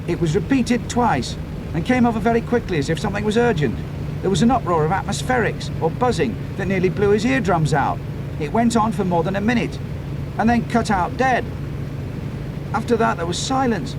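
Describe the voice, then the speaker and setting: A man's voice: sits high, expressive, brisk, noisy background, pitched above the performer's own voice. A man voicing an anxious young male radio operator, reporting a lost signal in a rush. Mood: urgent.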